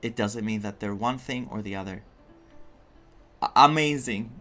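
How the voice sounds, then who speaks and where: A man's voice: fairly low pitch, normal speed, a bit bright, soft background music. A man giving casual, reflective reaction commentary as a game streamer, earnest and emphatic.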